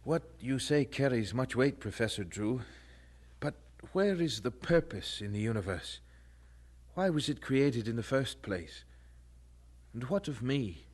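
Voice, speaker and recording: Devout young student, medium pitch, sincere and deliberate, radio drama delivery. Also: male voice, animated. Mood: respectful, troubled. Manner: earnest, respectful, deliberate. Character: earnest, devout young male student, stubborn in faith.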